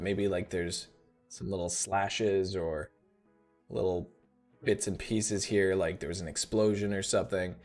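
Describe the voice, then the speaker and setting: A man in his thirties hosting a live software tutorial, thinking aloud in a relaxed way, his voice a little rough. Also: fairly low pitch. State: slightly rough voice. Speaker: host.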